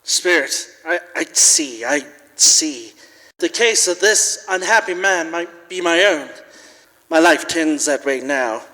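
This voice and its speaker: A man plays a frightened old miser in a Victorian radio drama, begging a spirit for mercy. His voice is highish and swings dramatically, with halting, deliberate delivery. The hall rings around every word. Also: lively intonation, sharp tone, exaggerated voice. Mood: anguished, pleading. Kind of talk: live radio-play acting of Victorian story. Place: live performance in a large church. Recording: reverberant church hall, live stage recording.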